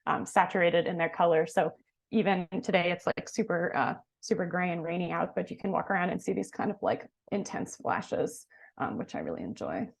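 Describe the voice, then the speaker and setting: A woman's voice: fairly low pitch, a little fast, creaky, breathy edge. A woman composer from New York City introducing herself casually on a video call, with frequent "um"s. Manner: relaxed, frequent "um"s.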